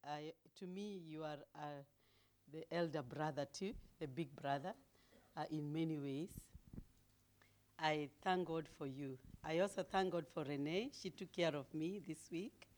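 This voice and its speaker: Deep-voiced woman preaching a sermon. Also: unhurried, a little hiss or hum. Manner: grows loud and emphatic when reading scripture; humble, laughs easily. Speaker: guest preacher.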